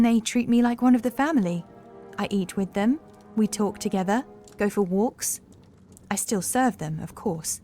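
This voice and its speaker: A woman playing an anxious, dreamy housemaid in a horror audiobook, confiding softly and hesitantly. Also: mid-range voice, lightly acted. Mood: wistful, dreamy. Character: fragile young housemaid haunted by cruel masters, dreamy and anxious.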